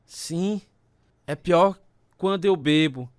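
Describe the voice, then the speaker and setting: A man's voice: a little high, slow, clean tone. An actor playing a troubled, bitter alcoholic man in a dramatized first-person radio story, speaking with a Brazilian accent and grave delivery. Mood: troubled, distressed. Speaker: narrator and protagonist of a radio testimony drama. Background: acoustic guitar music.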